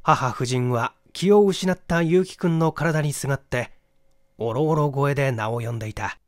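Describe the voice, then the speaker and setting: A middle-aged man reading literary mystery fiction aloud in an expressive, dramatic storytelling manner. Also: medium pitch, normal speed, no echo, studio quality. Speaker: audiobook reader, stage actor.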